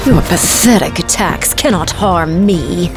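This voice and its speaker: An actress playing a haughty, menacing alien warlord villain in a superhero video game, with a grand, imperious manner. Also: female voice, lowish voice, a little slow, close to an everyday voice. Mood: haughty menace. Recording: loud music under the voice.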